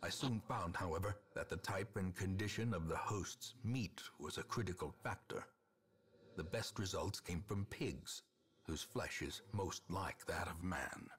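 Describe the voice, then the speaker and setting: An actor playing a grim, haunted old ancestor who narrates a gothic horror game in a slow, portentous, theatrical manner with a gravelly voice. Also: male voice, sits low. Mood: grim foreboding.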